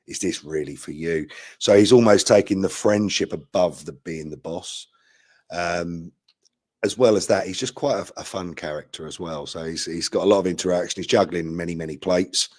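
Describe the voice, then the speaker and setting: A man speaks informally as a guest actor, in a fairly low voice. The sound is very dry, without room echo. He comes across as earnest and keen. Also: a little mellow. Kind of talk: informal talk about a role.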